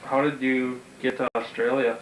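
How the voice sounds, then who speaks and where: A man's voice: medium pitch, level tone, moderate pace. A man asking short, prepared interview questions. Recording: roomy, distant microphone, background hiss.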